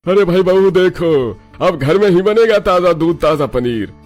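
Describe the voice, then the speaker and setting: A man voicing a jolly, food-loving father-in-law in a cartoon, loud and exclaiming. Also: high voice, lively intonation, a little slow, faint music behind. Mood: hearty.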